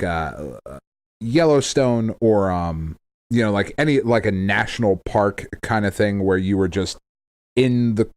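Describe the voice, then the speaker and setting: A man's voice: on the low side, no room sound. A man co-hosting a podcast in casual chat about video games, thinking aloud in a conversational way. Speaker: podcast co-host.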